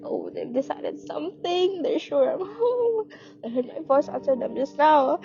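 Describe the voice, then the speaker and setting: A woman's voice: high voice, sing-song, a little slow, gravelly, warm tone, highs cut off, exaggerated voice. A young woman playing a nervous, demanding invalid woman with plaintive, imperious outbursts in an amateur radio play. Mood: anxious. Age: young adult. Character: frantic, demanding invalid woman alone in bed. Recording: dramatic music laid under the voice.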